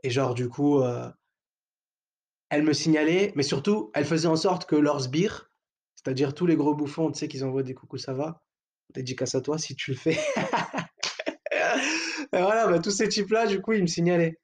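A man's voice: on the high side, animated, normal speed, pauses often, slightly rough. A young man hosting his own podcast in a casual, provocative monologue, joking around in crude slang with lots of fillers and hesitations. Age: young adult. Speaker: podcast host.

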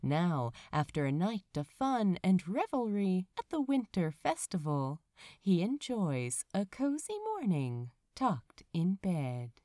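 Woman narrating with very animated, swooping pitch. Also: takes it slowly, no echo, clean studio sound. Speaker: podfic reader, narrator. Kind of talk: expressive audiobook narration of fan fiction.

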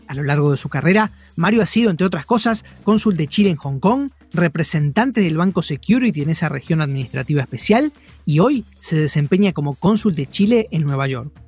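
A man's voice: a little high, a little mellow, husky. A man, an articulate, courteous podcast host introducing and questioning a guest.

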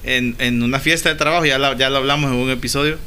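A man's voice: medium pitch, steady tone, fast talker, bright, forceful tone, slight background noise. A man co-hosting a casual podcast chat, hesitant and repeating words as he talks. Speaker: podcast co-host.

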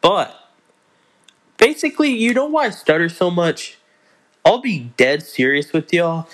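A young man hosting a casual solo podcast, talking in a halting way with many restarts and stumbles. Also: sits high, very animated. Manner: halting, restarts and fillers, slow unhurried delivery. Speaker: podcast host.

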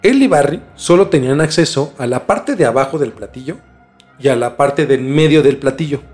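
A man with a Mexican accent in a casual, colloquial podcast conversation, explaining a story in a halting, conversational way. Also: medium pitch, animated, darkish tone. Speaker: podcast host telling a case. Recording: music under the voice. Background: background music.

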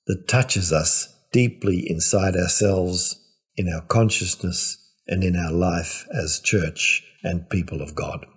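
A man, a priest and biblical scholar, giving a measured reflective homily in a lecturing way. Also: sits low, a little mellow, slightly rough.

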